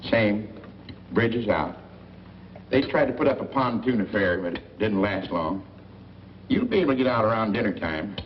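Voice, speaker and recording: A low-pitched, gossipy small-town newspaperman, wry and folksy, with lively swoops. It has the dull, slightly hissy sound of a 1940s film. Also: normal speed, long pauses.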